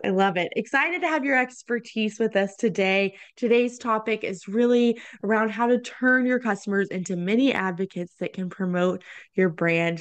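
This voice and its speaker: A woman hosting a podcast, upbeat and encouraging, with frequent ums. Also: mid-range voice. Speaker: podcast host. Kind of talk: casual interview hosting.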